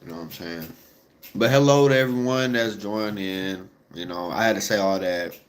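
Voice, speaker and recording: A man's voice: on the low side, normal speed. A congested young man chatting casually and rambling to his viewers, full of slang and fillers. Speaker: vlogger.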